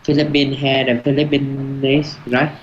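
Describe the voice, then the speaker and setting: A man from Vietnam with a Vietnamese accent, a student in an online English lesson, speaking haltingly and repeating words as he searches for them. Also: medium pitch, completely flat delivery. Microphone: video call. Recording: dull, faintly noisy call audio.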